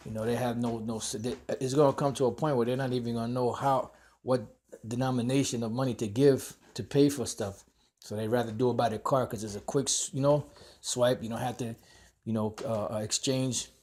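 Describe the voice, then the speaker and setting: A man's voice: medium pitch, darkish tone, a little hiss or hum. A man hosting a religious commentary, talking loosely with many fillers and a scornful edge. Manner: loose and meandering, heavy with "you know" and "uh"; scornful toward cash-free shoppers. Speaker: host of a religious commentary channel. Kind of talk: rambling religious commentary on a news article.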